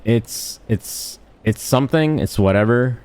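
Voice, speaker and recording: A man's voice: mid-range voice, unhurried, a little mellow. A man giving casual, unscripted gaming commentary in a blunt, rambling, slangy and crude way. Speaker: gaming video host.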